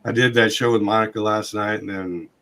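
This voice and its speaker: A man hosting a podcast in casual chat, his voice hoarse and scratchy from a long night of talking. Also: fairly low pitch, steady tone, fairly quick, a bit bright. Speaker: podcast host.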